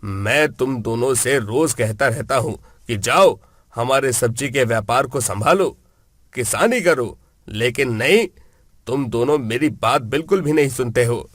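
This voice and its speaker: A man's voice: medium pitch, animated, a little slow, fairly bright tone, dry recording, clean studio sound. A man voicing an old village farmer father, earnest, plaintive and heartbroken, in a storybook tale. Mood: reproachful.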